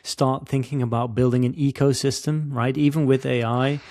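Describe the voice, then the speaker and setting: A man's voice: mid-range voice, flat delivery, normal speed, a little mellow. A man hosting a podcast interview, thinking aloud with many fillers as he asks questions. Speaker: podcast host.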